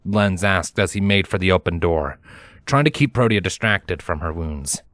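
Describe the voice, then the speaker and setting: Low-voiced man narrating fiction. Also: normal speed, fairly bright tone, no room sound. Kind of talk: dramatic scripted fiction narration.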